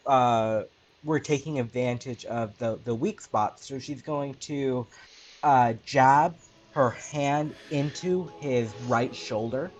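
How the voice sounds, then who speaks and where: A man's voice: medium pitch, darkish tone, lo-fi sound. Hesitant man at a noisy game session. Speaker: player in a tabletop roleplaying game. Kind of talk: casual game chat, describing a character's actions.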